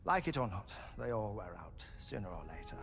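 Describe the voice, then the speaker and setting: A man's voice: lowish voice, big pitch swings, gravelly, full character voice. A man playing a genial, ailing scientist in a game cutscene, formal and wordy, sometimes dropping to a whisper, with music behind him. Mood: wry, wistful. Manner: formal, wordy, measured, with soft chuckles. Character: genial, ailing, erudite male scientist resigned to heartbreak. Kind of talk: cinematic video game cutscene acting.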